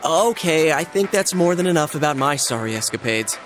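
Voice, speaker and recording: Game schoolboy, played by a man: lightly high, animated American voice, earnest and casual, music and crowd behind. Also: fairly quick, natural voice. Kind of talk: English video game voice acting.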